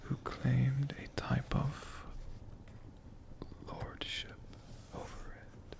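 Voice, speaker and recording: A man playing a friendly, bookish dragon who tells stories in a soft, breathy ASMR whisper, gently lingering over words. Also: mid-range voice, monotone, drawn-out words, heavily acted. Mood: gentle, musing. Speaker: ASMR host.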